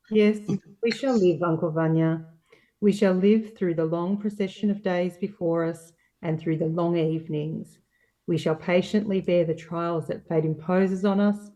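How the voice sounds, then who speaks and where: A woman playing an earnest, patient, sorrowful young woman in an amateur play reading, speaking in a measured way. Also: fairly low pitch, dark tone. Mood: consoling, fervent. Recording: dull, distant microphone.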